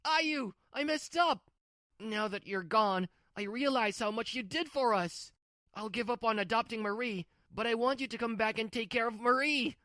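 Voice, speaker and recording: A woman's voice: a little high, moderate pace, bright, forceful tone, no echo, clean studio sound, voice pushed up. A woman voicing a selfish, panicky husband who whines and demands in a manga story dub. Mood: pleading. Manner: demanding, pleading. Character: selfish, whining adult husband, entitled and panicky.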